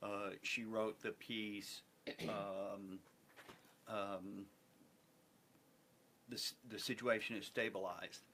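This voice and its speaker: A middle-aged American man with a fairly low voice speaks conversationally as a guest. He is reflective and halting, building phrases by repetition, with faint noise behind him. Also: little pitch movement, fairly bright tone. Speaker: theatre producer and interview guest. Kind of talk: conversational interview talk.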